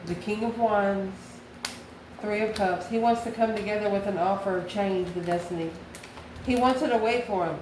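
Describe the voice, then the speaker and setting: A woman reads tarot cards informally in an echoey room with noticeable background noise. Her voice sits at a medium pitch as she speaks straight to the listener. She muses aloud, posing questions and then answering them herself. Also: normal speed, a little mellow. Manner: musing, asks and answers own questions. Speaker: tarot reader and channel host. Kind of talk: informal tarot card reading, talking to the viewer.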